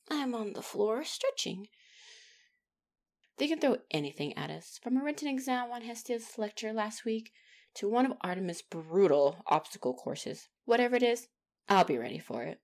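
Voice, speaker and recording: A woman acts out a young demigod trainee in an audio roleplay. She is feisty and chatty, easily flustered, with a lively swing in pitch. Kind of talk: ASMR roleplay acting.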